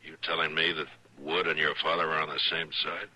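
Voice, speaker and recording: An actor playing a steady, authoritative American frontier marshal, measured and stern, in an old-time radio western. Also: male voice, rumbling low, slight background noise, heavily acted. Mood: calm, probing. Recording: bright, lo-fi old radio broadcast.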